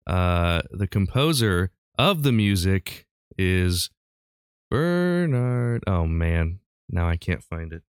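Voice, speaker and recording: A man's voice: sits low, very animated, slow, clear, resonant tone, dry recording, studio quality. A man hosting a podcast in casual, rambling conversation, telling stories as he goes. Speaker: podcast host and quiz master.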